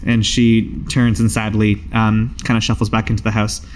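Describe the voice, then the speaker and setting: A man's voice: on the low side, completely flat delivery, normal speed, a little hiss or hum. A man narrating a tabletop roleplaying game as game master, in a casual, improvised, dryly humorous way.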